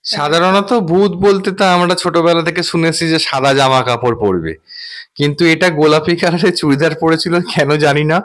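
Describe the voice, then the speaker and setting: A man's voice: a little high, lively intonation, brisk, fairly bright tone. A man hosting a vlog, recounting a personal experience in an earnest, wordy, explanatory way. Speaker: vlogger and host.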